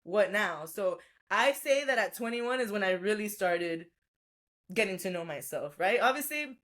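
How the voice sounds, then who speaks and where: A woman's voice: mid-range voice, animated, moderate pace, fairly bright tone. A woman podcast guest, a life coach, telling her story in a reflective, chatty way with frequent laughs. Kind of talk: casual interview storytelling.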